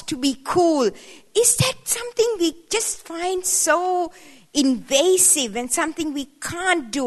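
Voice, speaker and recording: Woman, 70s, guest speaker at a women's church event; higher voice, witty, conversational, hall reverb. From Sri Lanka. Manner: playful, conversational, rising emphatic asides.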